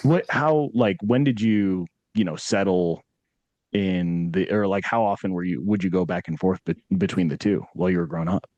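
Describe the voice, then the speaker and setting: A man's voice: low-pitched, moderate pace, a little mellow, no room sound, dull audio. A man hosting a podcast interview, chatty and upbeat, often rephrasing his questions. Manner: upbeat, chatty, laughs easily, trails into rephrasing. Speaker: podcast host. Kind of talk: casual interview chat.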